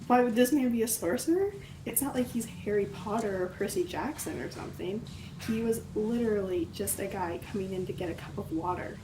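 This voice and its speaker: A woman's voice: medium pitch, fairly quick, recorded from a distance, lightly acted. Young woman as storytelling restaurant worker, very noisy recording. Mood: dismissive. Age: young adult. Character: ominous restaurant worker telling a spooky tale. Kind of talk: radio theatre acting. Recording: very noisy, off-mic.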